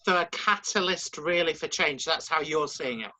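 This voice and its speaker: A man's voice: on the high side, normal speed, pushed and bright, slight background noise. A man interviewing church members, asking polite, encouraging questions.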